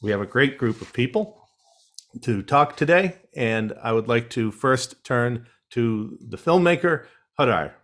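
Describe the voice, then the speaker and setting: A man moderating an online panel, reading guest introductions in a measured way with wry asides over a video call. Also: mid-range voice, animated, fairly bright tone.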